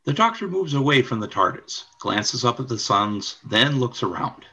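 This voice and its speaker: A man's voice: medium pitch, sing-song, normal speed, bright, forceful tone, husky, highs cut off. A man reading scripted scene narration for a radio play. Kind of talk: scripted narration of stage directions.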